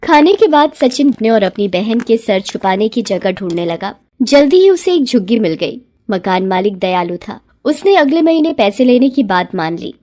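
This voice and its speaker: A woman narrating a scripted moral story in an expressive, dramatic storytelling manner. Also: on the high side, moderate pace, few pauses.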